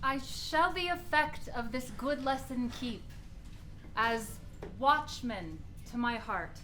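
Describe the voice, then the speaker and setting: A woman's voice: fairly high pitch, takes it slowly, pushed and bright, slight background noise. A woman playing a dutiful yet spirited young noblewoman in a Shakespeare radio play. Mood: dutiful, gently chiding.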